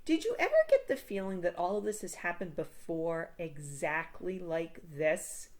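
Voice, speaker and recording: A woman's voice: on the low side, sing-song, moderate pace, darkish tone. A woman voicing a wry, know-it-all schoolboy with a dry, sarcastic manner in a storybook. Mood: dry sarcasm.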